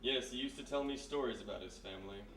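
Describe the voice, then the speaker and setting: A man playing a coolly polite, sinister stage magician in a radio mystery, speaking softly and measuredly. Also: medium pitch, quick pace, natural voice. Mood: courteous, guarded. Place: live performance in a historic house. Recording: echoey live stage, distant, faint noise.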